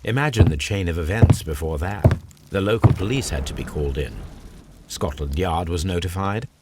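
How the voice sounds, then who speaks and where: Game role: brilliant, aloof Victorian detective played by a man, British, deep voice, lecturing formality, noisy background. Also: normal speed, close to an everyday voice. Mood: confident, deductive. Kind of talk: scripted video game acting.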